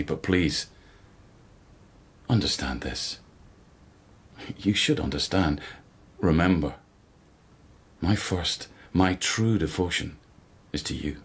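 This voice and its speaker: Radio drama: a devoted court policeman, very low and breathy, measured and gently insistent in interrogation. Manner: quiet, measured, gently pressing questioner. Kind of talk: literary radio drama acting.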